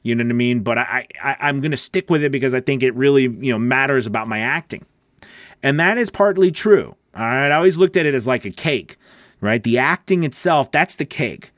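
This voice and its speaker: A man's voice: mid-range voice, animated, fairly quick, a bit bright, no echo. A middle-aged man giving a casual coaching talk as host, conversational and often checking in with the listener, recorded close on a studio microphone. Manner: conversational, asks rhetorical questions, checks in with "okay?" and "right?". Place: small home recording studio. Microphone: large studio microphone on a boom arm, close.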